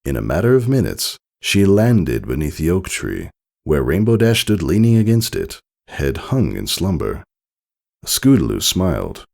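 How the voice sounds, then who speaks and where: A man reading a story aloud in expressive scripted narration. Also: sits low, measured pace, creaky.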